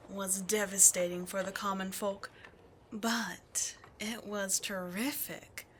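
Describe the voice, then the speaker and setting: A woman's voice: medium pitch, natural voice. A woman voicing a scheming demon queen in an ASMR roleplay, sly and mocking, often slipping into whispers, with faint noise behind. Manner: sly, taunting, drifting into whispers. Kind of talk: ASMR roleplay audio drama.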